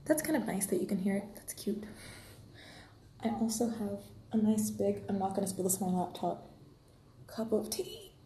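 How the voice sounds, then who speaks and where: A woman's voice: mid-range voice, lively intonation, moderate pace, long pauses, dark tone. A woman hosting a casual livestream, chatting to listeners in a friendly, rambling way, half to herself. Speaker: livestream host, podfic reader. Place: indoor room at home, rain outside. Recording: roomy echo, faint background noise.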